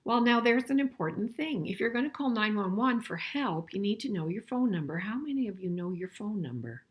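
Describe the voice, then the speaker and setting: An older woman reads a children's storybook with a very lively, swooping intonation and an average-pitched voice. She is expressive and conversational, turning to her young listeners with questions and little asides as she goes. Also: fairly quick, breathy edge. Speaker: grandmother reading a picture book to children.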